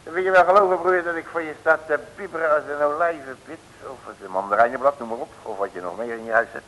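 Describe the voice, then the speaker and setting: An actor playing a bumbling, folksy, excitable male detective who blusters and stammers in a vintage comic radio serial. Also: fairly high pitch, quick pace, noisy background, natural voice. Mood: blustering. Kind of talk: 1960s Dutch comic radio serial acting. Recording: old radio recording, long reverb.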